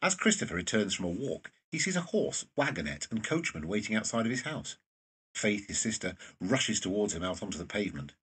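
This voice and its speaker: A man's voice: lowish voice, sing-song, brisk, fairly bright tone, breathy edge. A man narrating an audio drama from a script as storyteller.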